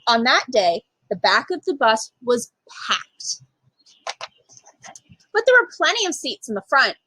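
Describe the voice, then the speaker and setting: A woman reading a children's picture book aloud as a storyteller, with emphatic, expressive delivery for young listeners. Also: fairly high pitch, unhurried, husky, no echo, rough recording. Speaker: storytime reader, literacy program manager.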